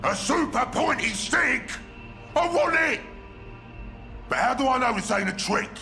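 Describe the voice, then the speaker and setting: An actor playing a brutish, greedy ork warboss in a video game, with a gruff Cockney growl and blunt, crude delivery. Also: male voice, very high-pitched, lively intonation, a little slow, sharp tone, exaggerated voice. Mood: boisterous, greedy. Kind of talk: video game character acting. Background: music.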